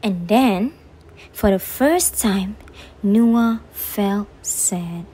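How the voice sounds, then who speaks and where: A woman reading a children's story aloud in an expressive, drawn-out way meant for young listeners. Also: medium pitch, slightly rough. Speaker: storybook reader on a children's channel.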